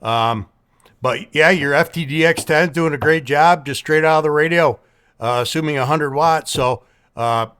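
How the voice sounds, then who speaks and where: A man talking casually as an amateur radio operator in a folksy, measured, plain-spoken manner. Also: a little high, fairly bright tone, smooth. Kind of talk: casual ham radio contact.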